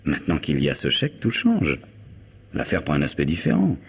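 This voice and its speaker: An actor playing a suave, middle-aged lawyer in a French radio mystery, wry, urbane and unruffled. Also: male voice, a bass voice, huge pitch swings, fairly quick, creaky, breathy edge, full character voice. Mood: measured, serious. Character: suave, ironic middle-aged male lawyer, coolly self-possessed.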